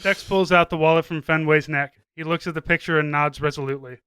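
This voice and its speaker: A male podcast narrator reading a comic script. His voice is high, bright and clean, with very little room sound around it. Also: fairly even intonation, quick pace, clear, resonant tone, no echo.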